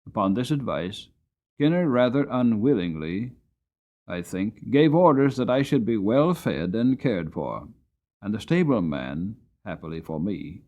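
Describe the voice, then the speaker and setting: Man narrating as a weary horse, highly expressive. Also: muffled recording. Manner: earnest, expressive, deliberate. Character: weary, long-suffering male horse telling his life story. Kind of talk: dramatic storybook narration.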